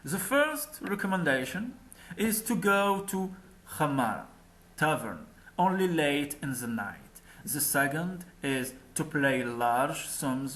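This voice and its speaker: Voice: medium pitch, a bit bright. A storyteller voicing a wise, dying old merchant father in a folk tale, solemn and instructing.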